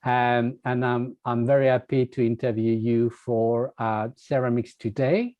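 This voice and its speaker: A man's voice: mid-range voice, little pitch movement, a little slow, dry recording, lo-fi sound. A man interviewing an artist, asking polite questions with frequent hesitations and fillers. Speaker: interviewer, magazine editor.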